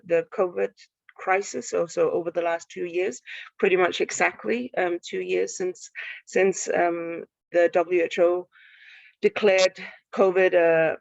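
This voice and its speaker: A woman from Denmark, speaking as a panelist in an online panel, thoughtful and halting with many restarts. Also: lowish voice, no echo, highs cut off. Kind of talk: panel talk on a live stream. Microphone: video call.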